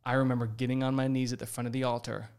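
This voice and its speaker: A man's voice: mid-range voice, level tone, very fast, a little mellow, clear, resonant tone. A man in his twenties, a podcast guest, earnestly telling a personal story in casual talk. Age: 20s.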